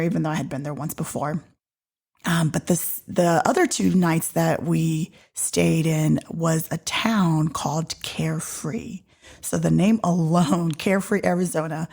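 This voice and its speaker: Deep-voiced, gravelly woman podcaster. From Georgia, USA. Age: 40s. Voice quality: deep, loud voice. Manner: chatty and animated, drops into whispers for effect. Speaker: podcast host. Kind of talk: casual personal storytelling.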